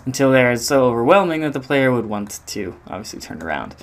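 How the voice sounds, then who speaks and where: A man's voice: mid-range voice, big pitch swings, brisk. A man giving an informal walkthrough of a demo, speaking hesitantly as if thinking aloud. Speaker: game audio designer presenting own project.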